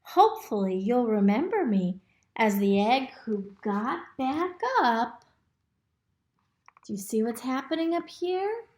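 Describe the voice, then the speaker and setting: A woman reading a picture book aloud to young children in an expressive, instructive way, pausing to ask them simple questions. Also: fairly high pitch. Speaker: storybook reader. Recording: roomy, echoey indoor recording.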